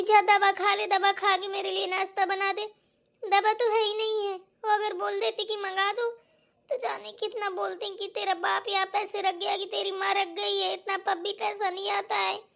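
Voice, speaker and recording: A woman's voice: extremely high pitch, creaky, fairly bright tone, heavily acted. A woman voicing a long-suffering young daughter-in-law in a family cartoon, plaintive and aggrieved, with a childlike voice. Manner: plaintive, complaining. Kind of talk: Hindi cartoon voice acting.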